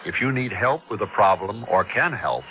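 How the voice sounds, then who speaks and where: A courteous charity officer speaks in a lowish, bright, forceful voice. It is a scripted radio advert with faint noise. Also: male voice, lively intonation, moderate pace, dull audio.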